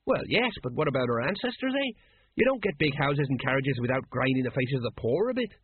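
A man's voice: medium pitch, sing-song, a little fast, husky, narrow, tinny audio. A man voicing a stolid middle-aged police sergeant who grumbles and blusters indignantly in an audiobook. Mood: indignant grumbling.

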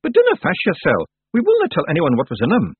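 A man voicing a tiny, brash, proud male fairy clansman with a Scottish accent, excitable and quick to stammer when cornered, in a storybook reading. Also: high voice, breathy edge, dry recording, phone-quality sound, voice pushed up, full character voice. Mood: flustered, defensive.

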